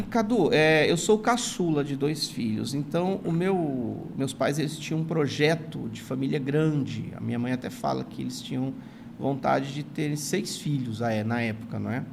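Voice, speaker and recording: A man's voice: mid-range voice, normal speed, gravelly, slight background noise. A man in his forties from São Paulo with a São Paulo accent, a guest telling his life story in an earnest, grateful way, often adding "não é" to his sentences. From São Paulo, Brazil. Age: 40s. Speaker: guest, retired teacher and writer. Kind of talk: reflective storytelling in an interview.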